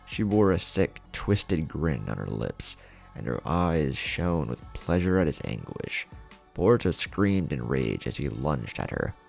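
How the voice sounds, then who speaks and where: A man's voice: rumbling low, sing-song, measured pace, gravelly, husky, soft background music. A man hosting a story channel, reading scripted fan fiction in a dramatic narrator's delivery.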